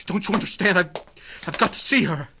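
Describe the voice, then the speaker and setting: A man's voice: high voice, fairly quick, vocal fry, sharp tone, a little hiss or hum, heavily acted. An actor playing a distraught, devoted young man, urgent and pleading, in old-time radio style.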